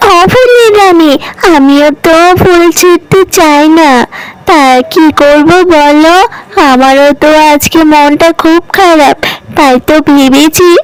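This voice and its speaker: A sweet little girl from a cartoon, performed by a woman, with a very high childlike voice. She is earnest and a little plaintive, feeling everything simply and openly. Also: slow, rough recording. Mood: sad. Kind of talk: animated cartoon dialogue.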